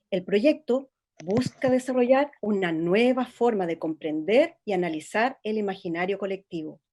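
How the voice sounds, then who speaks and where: An actress playing a female documentary reporter, reading scripted narration in a measured, informative way. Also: a little high, warm tone.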